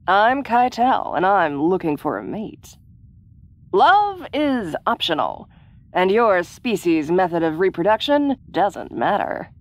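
Woman playing a blunt, clinical alien woman. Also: on the low side. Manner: businesslike, deliberate, deadpan. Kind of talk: audio roleplay acting.

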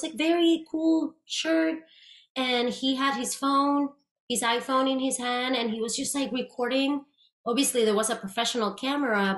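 A woman in her thirties with a Puerto Rican accent, a guest actress chatting animatedly over a video call. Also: sits high. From Puerto Rico. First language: Spanish. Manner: animated, gestures a lot, restarts often. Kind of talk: casual interview chat. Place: small room with plain grey wall, indoors. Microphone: video call webcam. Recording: roomy echo.

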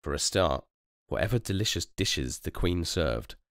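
A deep-voiced man narrating a children's storybook. His reading is highly animated, with a gravelly edge and expressive turns. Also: measured pace, no room sound, studio quality. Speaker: storybook reader.

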